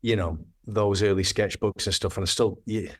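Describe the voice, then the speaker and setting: Guy in his thirties chatting on a podcast, lowish voice, keeps restarting sentences. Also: fairly even intonation, moderate pace, breathy edge. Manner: rambling, hesitant, with frequent restarts. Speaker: guest, graphic designer. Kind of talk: casual podcast interview.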